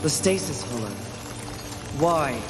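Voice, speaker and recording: A woman's voice: low voice, animated, takes it slowly. An actress playing a proud warrior horsewoman of the apocalypse in a fantasy video game, dry, scornful and cocksure. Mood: wary, sardonic. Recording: echoey, reverberant, with music behind.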